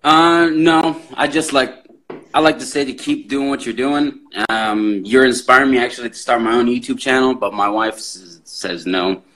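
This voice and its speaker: A man's voice: medium pitch, fairly even intonation, normal speed, pushed and bright, rough recording. A young man from Saudi Arabia with an American accent, chatting in an easygoing, friendly way with a streamer over a video call. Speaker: viewer calling in. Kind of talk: casual chat on a video call.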